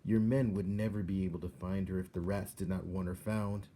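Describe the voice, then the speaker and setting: A man's voice: low voice, steady tone, a little fast, dark tone, slight background noise, natural voice. A man voicing a small, earnest male mouse who pleads politely and formally in a storybook reading. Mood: urgent insisting. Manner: formal, deferential address.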